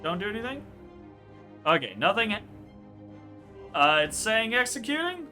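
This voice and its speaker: A man running a tabletop game as game master, casual and animated, swearing freely and trailing off with filler words. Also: high-pitched, moderate pace, soft background music. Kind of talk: narrating a tabletop game online.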